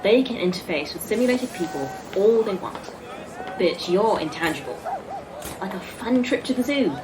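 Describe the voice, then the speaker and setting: A woman in an audio drama playing a terse, deadpan guide with a clipped manner. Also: medium pitch, moderate pace, close to an everyday voice. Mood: matter-of-fact. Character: terse, deadpan female guide and train conductor. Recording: distant, crowd and outdoor noise behind.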